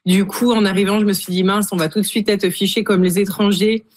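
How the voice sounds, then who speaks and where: A woman's voice: mid-range voice, flat delivery, fairly quick, darkish tone, a little hiss or hum. A woman with a strong accent from France, telling her experiences as a radio interview guest with some fillers. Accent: France French.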